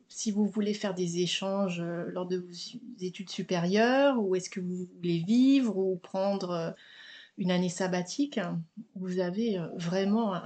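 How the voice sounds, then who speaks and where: A middle-aged French woman is a guest on a chat podcast. Her voice is mid-pitched. She explains steadily and pauses on fillers. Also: darkish tone. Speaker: guest, mother and business founder. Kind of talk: casual conversational interview.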